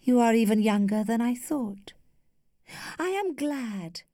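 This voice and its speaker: Woman doing a worldly teasing lady from an old novel, archly playful, mellow, very up and down. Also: medium pitch, sing-song, dry recording, studio quality, pitched above the performer's own voice. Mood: tender, warning. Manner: studied, measured, archly playful.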